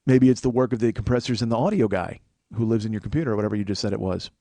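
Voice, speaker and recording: A man hosting a podcast, riffing in a profane, excitable comedic monologue into a studio microphone. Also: lowish voice, very fast, no echo. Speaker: podcast host.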